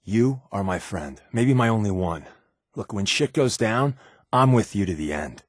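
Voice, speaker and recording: Wry, lowish-voiced male spy. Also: expressive, unhurried, dry recording, clean studio sound. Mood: earnest, confiding. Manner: sardonic, offhand, conversational delivery. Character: wry, secretive male spy agent, glib and irreverent. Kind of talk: video game companion dialogue.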